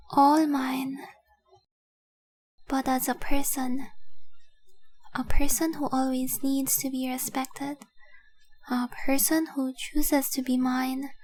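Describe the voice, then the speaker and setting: A woman's voice: high voice, no echo. A woman playing a devoted, tenderly possessive young dragon girl in a romantic audio roleplay, speaking earnestly and intimately, sometimes dropping toward a whisper. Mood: adoring, possessive. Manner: earnest, intimate, deliberate, with breathy whispered phrases.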